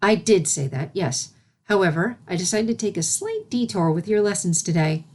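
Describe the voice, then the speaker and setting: A woman voicing a calm, regal alicorn princess and patient teacher, gentle and composed, in a storybook reading. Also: medium pitch, moderate pace. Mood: calm, kindly. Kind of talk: storybook character voice in fan fiction reading.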